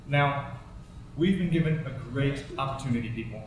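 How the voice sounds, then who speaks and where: A man playing a brisk college newspaper editor in naturalistic film dialogue, recorded in an echoey space at a distance. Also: mid-range voice, lightly acted. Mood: brisk, businesslike. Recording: very echoey, distant, faint noise.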